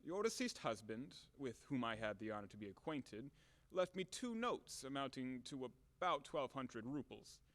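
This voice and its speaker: Long hall reverb, a man acting as brash retired army officer, country gentleman, pompous, loud, formal, audio play. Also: medium pitch, very animated, moderate pace. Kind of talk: audio play acting of a classic stage comedy.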